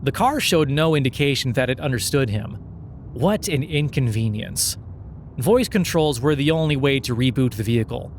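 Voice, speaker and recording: A man's voice: medium pitch, slight background noise. A man narrating scripted satirical fiction in a sardonic, mock-earnest storytelling manner.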